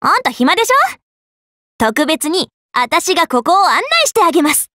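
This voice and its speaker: A young female Buddhist monk master from an anime game, with a very high, bright voice that leaps around in pitch. She is cheerful, bossy and a little scatterbrained. Her delivery is childlike and boastful, full of exclamations. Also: big pitch swings, moderate pace, no echo, studio quality, heavily acted. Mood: bossy, lively. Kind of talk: Japanese anime game character voice lines.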